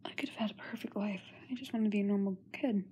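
A woman as frightened young singer girl, weary diary drama, mellow tone, halting, whispery, slightly noisy makeshift recording. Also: medium pitch, steady tone, close to an everyday voice. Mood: anguished. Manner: halting, breathy, often dropping to a whisper. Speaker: amateur voice actor. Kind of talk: in-character diary monologue.

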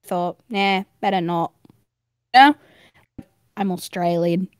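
A woman's voice: fairly low pitch, slow pace, smooth, no echo, clean studio sound. A woman voicing a crude, rambling character with a wild, rowdy fake German accent. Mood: manic.

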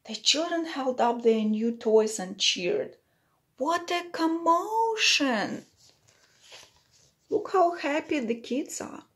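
A woman reads to young children as a teacher would, at a very unhurried pace. Her voice sits a little high. She phrases things simply and pauses the story to explain it. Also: very animated, a bit bright. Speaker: teacher reading aloud. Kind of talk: children's picture-book read-aloud with explanatory asides.

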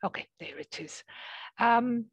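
A woman in her fifties from Belgium giving an online academic presentation in a halting, conversational way. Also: on the high side, no echo, muffled recording. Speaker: associate professor, guest speaker. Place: small office with bookshelves, indoors. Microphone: headset on a video call.

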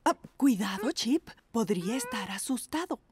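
An actress voicing a gentle mother pug, sweet and doting in a cartoon. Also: on the high side, very animated, normal speed, noisy background. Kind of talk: Spanish dub of a preschool cartoon.

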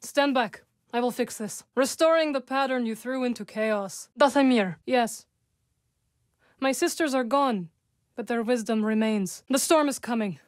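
A composed young witch from a video game, as a woman voices her, with a slightly high voice and measured, formal phrasing. Her humor is dry and understated. The close studio sound is very dry, with no room around it. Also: no echo, close to an everyday voice. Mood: calm. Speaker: game companion character. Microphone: close studio mic.

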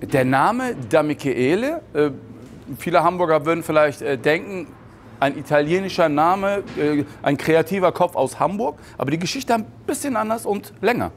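A middle-aged man hosts a casual on-location interview. His voice sits a little high for a man, and there is clear ambient noise behind him in the restaurant. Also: animated, normal speed. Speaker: host, interviewer. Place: indoors in a restaurant bar.

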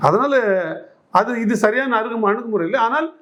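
A man's voice: extremely high pitch, moderate pace, fairly bright tone. A man giving political commentary in an interview, arguing emphatically, repeating phrases and posing rhetorical questions.

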